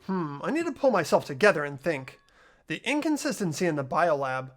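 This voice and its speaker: A performer voicing an earnest, anxious young male student who reasons out loud, in a fan dub of a video game. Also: mid-range voice, very animated, brisk, soft background music. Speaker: main protagonist.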